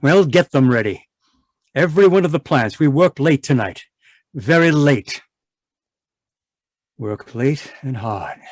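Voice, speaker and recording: A man's voice: medium pitch, expressive, measured pace, long pauses, no echo, clean studio sound. An actor playing a guilt-ridden, domineering male chemist in an old-time radio horror play, swinging between haunted muttering and clipped, imperious speech. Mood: irritable, imperious.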